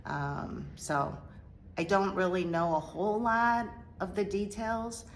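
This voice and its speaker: A middle-aged woman from Korea telling her life story as an interview guest, speaking hesitantly with many pauses and fillers. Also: mid-range voice, lively intonation, slight background noise. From South Korea. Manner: hesitant, halting, soft-spoken with many fillers. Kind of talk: personal testimony interview.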